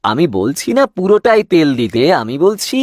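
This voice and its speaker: A man's voice: on the high side, big pitch swings, normal speed, no echo, studio quality. A man playing a shopkeeper's profit-minded grown son in a cartoon tale, respectful but hesitant, persuasive and self-justifying. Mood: wheedling. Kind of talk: cartoon fairy-tale voice acting.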